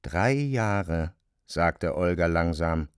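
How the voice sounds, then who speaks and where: A man's voice: sits low, expressive, very slow, clear, resonant tone, exaggerated voice. A man performing as the sober literary narrator of a radio play. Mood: measured.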